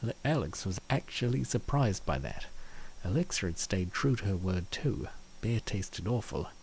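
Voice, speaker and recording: Male narrator for a comic dub: lowish, gravelly voice, scripted storytelling, faint noise behind. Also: lively intonation, moderate pace, low-quality recording.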